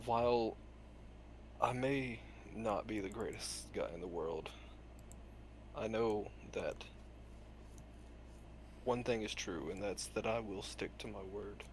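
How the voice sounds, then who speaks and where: A man acts out a reformed villain ninja mentor for a tabletop game. The voice sits fairly low, solemn and earnest, with deliberate, halting phrasing. There is noticeable room noise behind it.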